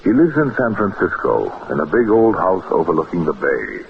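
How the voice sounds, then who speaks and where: A man narrating a scripted radio drama in a wry, measured manner. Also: low voice, wildly swooping pitch, creaky, exaggerated voice. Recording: muffled, noisy old radio recording.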